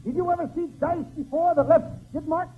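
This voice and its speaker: A man, trading brash, excitable wisecracks in a 1940s radio comedy. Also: very high-pitched, brisk. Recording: muffled old radio broadcast with hiss.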